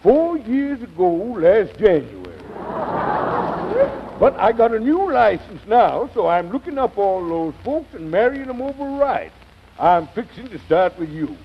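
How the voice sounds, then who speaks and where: An actor playing a folksy, sheepish country judge with a Southern American accent in an old radio comedy. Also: male voice, sits high, big pitch swings, unhurried, a little hiss or hum, exaggerated voice. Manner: folksy, drawling colloquial talk with chuckles. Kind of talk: 1940s radio comedy acting. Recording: dull, lo-fi old radio recording.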